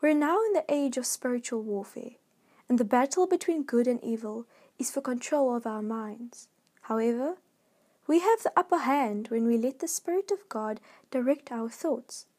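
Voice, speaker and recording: A woman reading a scripted devotional in a measured, earnest way. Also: fairly high pitch, lively intonation, dark tone. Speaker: devotional reader.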